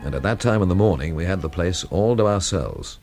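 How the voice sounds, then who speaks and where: Casting: dry mystery narrator, low-pitched, lively inflection, music behind, understated radio drama style. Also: male voice, brisk. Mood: reflective. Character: wry, thoughtful male narrator-hero of a mystery.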